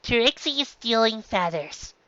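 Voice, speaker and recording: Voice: medium pitch, expressive, unhurried, bright, forceful tone, highs cut off, voice pushed up. A reader voicing a weary, caring female pegasus mail carrier in a storybook, with a dry, grumbling, questioning manner. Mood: dry, calm.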